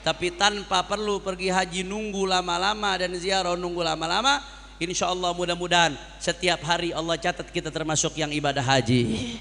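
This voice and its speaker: A man's voice: high-pitched, fairly even intonation, a little fast, sharp tone, in a large hall. A man preaching a sermon to a congregation as an impassioned orator, using repetition and rhetorical questions. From Jakarta, Indonesia. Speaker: Islamic preacher.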